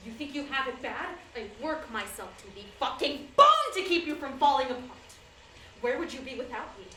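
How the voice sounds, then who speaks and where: A woman's voice: sits high, very animated, normal speed. A woman acting a domineering, belittling character in a dramatic monologue, with a scornful, condescending and theatrically emphatic delivery. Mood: scornful, furious. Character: domineering, belittling woman berating a man she controls. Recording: roomy echo, faint background noise.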